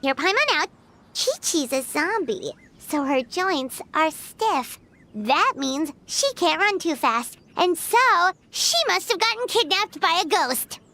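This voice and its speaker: Casting: tiny chatty fairy sidekick for a video game, extremely high, bright childlike voice. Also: female voice, animated, slow, full character voice. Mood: explaining. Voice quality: squeaky, childlike. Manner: childlike, speaks of self in third person, exclamatory. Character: tiny floating girl fairy companion, excitable and chatty.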